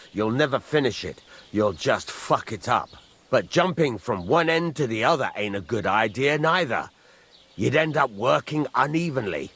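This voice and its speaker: An actor playing a gruff middle-aged village blacksmith in a video game, husky, blunt and rustic, giving plain instruction. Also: male voice, medium pitch, a bit bright, full character voice. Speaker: master blacksmith. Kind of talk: video game character acting.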